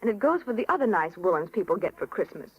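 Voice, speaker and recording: Casting: American female 1930s radio columnist, medium pitch, rehearsed chatty script, dull old recording. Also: very animated, fast talker, breathy edge. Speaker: Hollywood reporter on radio. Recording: muffled 1930s radio broadcast.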